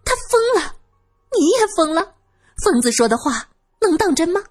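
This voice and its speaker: A woman's voice: very animated, soft background music. A woman playing a bitter, fierce widowed mother in an audiobook, scolding and lamenting with indignation. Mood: indignant, scornful. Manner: shrill scolding and drawn-out lamenting.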